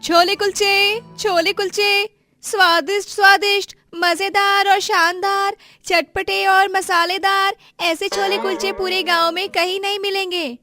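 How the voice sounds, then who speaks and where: A woman's voice: extremely high pitch, level tone, unhurried, pushed and bright, faint music behind, voice pushed up, heavily acted. A woman voicing a cheery young street food seller in a story, with a singsong manner. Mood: cheery hawking. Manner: singsong hawking.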